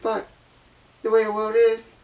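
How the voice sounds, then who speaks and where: A woman's voice: completely flat delivery, measured pace, darkish tone, recorded from a distance, dull audio. Blunt woman ranting to camera. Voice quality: low voice. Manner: blunt, preachy, repeats points for emphasis. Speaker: vlogger. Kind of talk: opinion monologue to camera.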